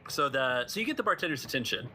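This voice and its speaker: A man's voice: medium pitch, sing-song, fast, pushed and bright. A man working as game master, casually narrating a tabletop role-playing session.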